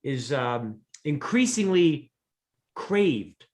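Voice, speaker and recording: High-voiced middle-aged man, earnest storyteller. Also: big pitch swings, drawn-out words. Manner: earnest, self-deprecating storyteller. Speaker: podcast guest, leadership expert. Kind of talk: conversational interview.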